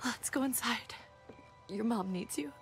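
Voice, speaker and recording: A woman playing a gentle, sympathetic, consoling young woman in a cinematic video game drama. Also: fairly high pitch, a little slow. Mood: sorrowful, tender. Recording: music underneath.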